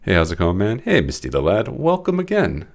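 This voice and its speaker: A young man with a very low voice, audibly congested and stuffy. He rambles through a late-night coding stream in casual, self-deprecating talk full of restarts. He speaks into a desk mic at home. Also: wildly swooping pitch, fairly quick, gravelly. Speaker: game developer streaming his work. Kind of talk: casual live-stream commentary.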